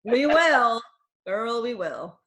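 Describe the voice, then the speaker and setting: A woman hosting a podcast, signing off guests in an unhurried, friendly way. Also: a little high, sing-song, a loud crowd. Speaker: podcast host. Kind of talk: wrapping up a segment. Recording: echoey room.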